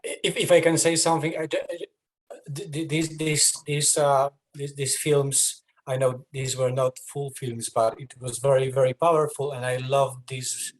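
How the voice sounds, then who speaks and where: A man's voice: mid-range voice, little pitch movement, unhurried. A man giving spontaneous comments, stammering and repeating words as he goes. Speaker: audience member giving comments.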